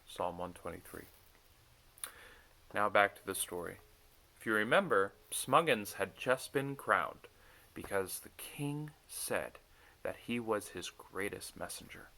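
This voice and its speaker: A man reading a bedtime story aloud in an expressive storytelling style. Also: fairly low pitch, measured pace, vocal fry. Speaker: story reader.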